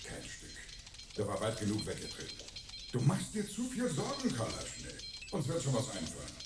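A man's voice: mid-range voice, huge pitch swings, moderate pace, over music. An actor playing a cowardly, wheedling male saboteur in a German sci-fi crime radio drama, evasive and pleading in manner. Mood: defensive. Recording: echoey, noisy radio play scene.

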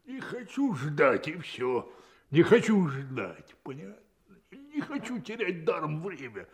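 A man's voice: on the high side, huge pitch swings, takes it slowly, exaggerated voice. An actor playing a grumpy, stubborn old coachman out of work in a radio play, muttering and drawling, with coughs and groans. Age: older.

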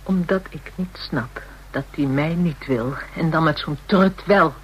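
An actress playing a hardened, taunting woman in a radio drama, with a husky voice, a Dutch accent and brash, slangy speech. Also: deep voice, a little slow, a little hiss or hum, poor audio. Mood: scornful, chatty. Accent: Dutch (Netherlands). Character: hardened, jealous, taunting woman dealing in kinky services.

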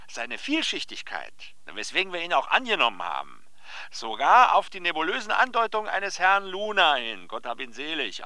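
An actor playing a pompous, excitable male publishing editor in a radio play, theatrical and condescending. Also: fairly high pitch, dry recording, exaggerated voice. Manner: theatrical, condescending, swooping emphasis. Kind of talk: German radio play dialogue.

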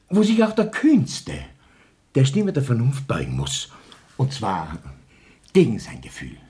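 A man's voice: medium pitch, a little mellow. A middle-aged man playing a pompous, domineering party leader in a German radio play, oratorical and sneering.

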